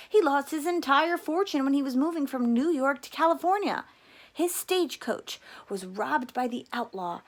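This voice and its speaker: A woman reading a children's novel aloud as a teacher, with expressive storytelling delivery. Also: high voice.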